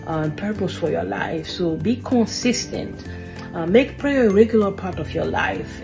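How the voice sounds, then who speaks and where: A woman giving an earnest kids' lesson, filmed on a phone at arm's length indoors. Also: on the low side. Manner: earnest, with frequent fillers. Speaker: kids ministry teacher. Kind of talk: earnest teaching talk.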